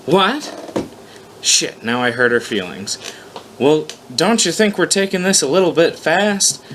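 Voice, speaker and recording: A man's voice: on the high side, lively intonation, a little slow, a bit bright, voice pushed up. Man reading as a wry, flustered guy, noisy recording. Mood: nervous sarcasm. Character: wry, flustered young human man narrating his own predicament. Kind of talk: first-person story read aloud. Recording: noticeable background noise, amateur recording.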